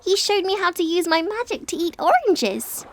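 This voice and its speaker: Tiny high-pitched cheerful unicorn filly. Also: female voice, expressive, moderate pace. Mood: matter-of-fact. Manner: playful, childlike, earnest and chatty. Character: cheerful innocent young unicorn filly, little girl. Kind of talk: dramatic reading of fan fiction.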